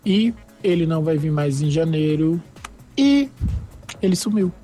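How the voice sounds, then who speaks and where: A man from sends a voice message to a radio show. His voice sits a little high but sounds mellow. He tells his story in a chatty, confiding, expressive way. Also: a little slow, faint music behind. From Brazil. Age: 20s. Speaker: listener sending a voice message. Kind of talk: recorded voice message.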